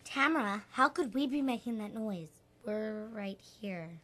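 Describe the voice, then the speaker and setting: A woman's voice: medium pitch, lively intonation, unhurried, pushed and bright. A woman playing a cheeky, defiant young child in an amateur radio play. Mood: uneasy.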